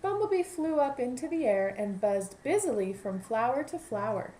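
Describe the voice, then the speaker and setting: A woman's voice: on the high side, big pitch swings, moderate pace, warm tone, a little hiss or hum. A woman, a kindergarten teacher, reading a children's story aloud and adding teaching asides.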